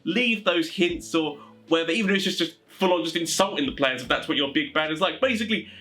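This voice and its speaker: A man hosting a casual explainer for tabletop game masters, talking in an animated, rambling, informal way. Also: a little high, quick pace. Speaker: video host giving tabletop game master advice.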